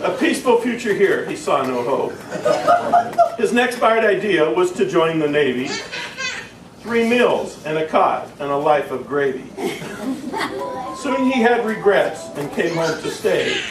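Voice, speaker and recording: A man's voice: a little high, big pitch swings, measured pace, long pauses. A middle-aged man speaking informally and reading humorous verse to a family gathering, with a joking, irreverent manner. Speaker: family speaker introducing and reading a tribute poem. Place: room at a family gathering, audience behind. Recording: roomy, distant, noisy crowd recording.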